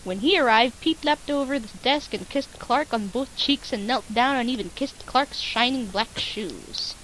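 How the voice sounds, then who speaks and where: Female comic narrator, high voice, playful dramatic delivery, faintly hissy home recording. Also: a little slow, a bit bright, rough recording. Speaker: co-host and story narrator. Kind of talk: comic dramatic reading of fan fiction.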